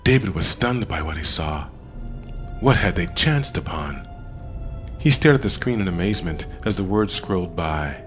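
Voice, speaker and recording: A man narrating an audiobook in a dramatic scripted style, expressive, with deliberate pauses. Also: low voice, soft background music.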